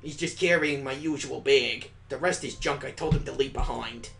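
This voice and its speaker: A man's voice: mid-range voice, normal speed, creaky, a bit bright, faint music behind. Man voicing an impatient, grumbling young girl. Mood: irritated. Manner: grumbling, complaining. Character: displeased, impatient eleven-year-old girl. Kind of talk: visual novel character reading.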